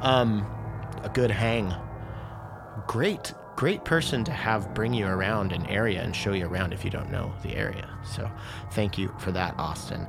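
A man's voice: lowish voice, normal speed, vocal fry, music in the background. A man in his thirties hosting a podcast, talking casually with many fillers and restarts, then interviewing a guest. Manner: rambling, filler-heavy, enthusiastic. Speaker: podcast host.

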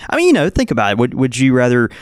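Easygoing man with lively intonation. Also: mid-range voice, dry recording, studio quality. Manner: easygoing, agreeable, quick to laugh. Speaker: tobacco shop co-host. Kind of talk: casual chat.

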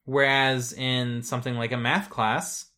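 Relaxed speaker. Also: medium pitch, unhurried. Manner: relaxed, reflective, frequent ums and uhs. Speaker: programmer. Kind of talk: casual conversation.

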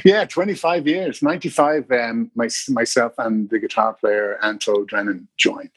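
Middle-aged Irish man, husky and dry, rambling interview storyteller. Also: mid-range voice, moderate pace, a bit bright, no echo, faint music behind, poor audio. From Ireland. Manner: rambling, many restarts and fillers. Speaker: bass player guest.

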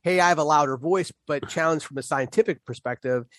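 A man's voice: on the high side, fairly quick, dry recording, studio quality. A man co-hosting a casual conversation about teaching, easygoing and agreeable, filling his talk with "right" and "you know". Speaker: podcast co-host.

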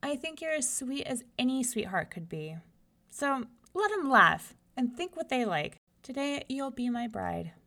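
A woman voicing an earnest young farmer man in love in a storybook reading, a little higher than her own voice. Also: sing-song, unhurried, gravelly, pushed and bright, heavily acted. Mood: resolute.

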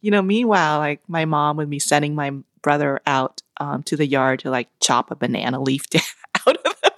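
A woman in her forties, born in Vietnam, telling family stories in a relaxed interview, laughing often and acting out what her relatives said. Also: deep voice, a little fast, no echo, clean studio sound. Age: 40s. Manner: animated, laughs often, acts out family quotes. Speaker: guest. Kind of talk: casual storytelling interview.

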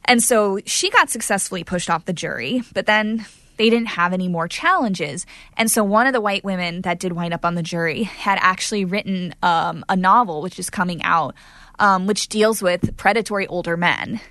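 A woman with a slightly low voice talks through events in a loose, chatty way, leaning on "you know" and "like". The sound is dry and close, with no room around her. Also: moderate pace, pushed and bright, dry recording. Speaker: court reporter guest. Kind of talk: conversational interview answers.

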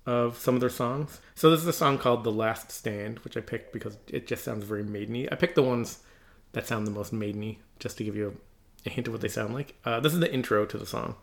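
Man, gravelly voice, casual podcast chat, rambling, many fillers. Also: medium pitch, fast. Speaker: podcast co-host. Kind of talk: casual chat about heavy metal.

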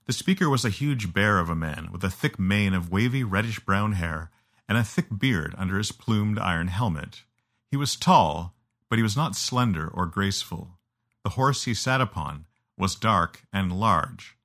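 Male narrator for audiobook storytelling, fairly low register, scripted read. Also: expressive, normal speed, a bit bright.

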